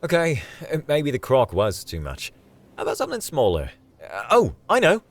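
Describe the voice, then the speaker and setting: A man's voice: mid-range voice, sing-song, a little fast, pitched above the performer's own voice, lightly acted. A man voicing a cheerful, fearless young man in an audio story, breezy and slangy. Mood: cheerful, insistent.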